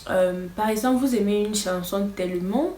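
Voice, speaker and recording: A nervous, hesitant woman whose first language is English, teaching a casual lesson full of fillers. Her mid-pitched voice sounds a bit distant from the mic, with noticeable room echo. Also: moderate pace, warm tone, a little hiss or hum. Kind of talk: casual vlog-style lesson.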